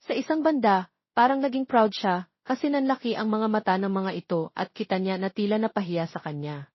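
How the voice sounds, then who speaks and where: A woman's voice: mid-range voice, fairly quick, dry recording, dull audio. A woman narrating romance fiction as a scripted, dramatic story reading.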